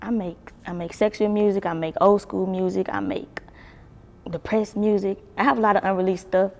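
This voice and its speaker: Gravelly-voiced young woman. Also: mid-range voice, fairly even intonation, moderate pace, big-room sound. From Baton Rouge, Louisiana. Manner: laid-back, swears freely, laughs often. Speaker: singer, interview guest. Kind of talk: casual interview chat.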